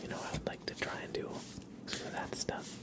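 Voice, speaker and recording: A young man hosting a relaxed ASMR livestream, mostly whispering and speaking softly in a reassuring, encouraging way. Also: brisk. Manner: soft-spoken, mostly whispering. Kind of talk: relaxed ASMR, chatting with viewers and reading tarot cards.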